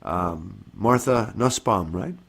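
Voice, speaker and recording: A man's voice: lowish voice, expressive, takes it slowly, vocal fry, fairly bright tone, husky. A man hosting a podcast interview, speaking with a cold and a slightly nasal voice in a friendly, joking way. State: has a cold. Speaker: podcast host. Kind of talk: interviewing a guest.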